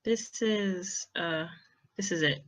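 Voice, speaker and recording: A woman playing a proud, guarded teenage boy with a terse, deadpan manner in an amateur audio drama. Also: fairly low pitch, slow. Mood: modestly proud.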